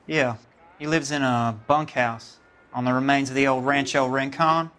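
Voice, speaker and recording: An actor playing a reluctant, evasive pest-control worker in a video game. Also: male voice, mid-range voice, steady tone, normal speed, pushed and bright, natural voice. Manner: hesitant, evasive.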